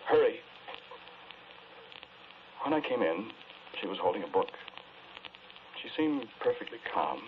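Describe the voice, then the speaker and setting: An actor as an earnest, deep-voiced troubled suitor. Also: male voice, natural voice. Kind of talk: 1940s radio drama acting. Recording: muffled, hissy 1940s radio recording.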